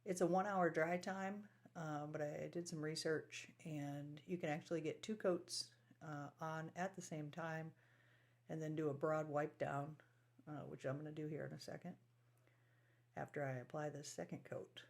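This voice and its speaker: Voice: mid-range voice, steady tone, normal speed, creaky. A speaker casually narrating a hands-on restoration project as the host, with folksy, colloquial phrasing.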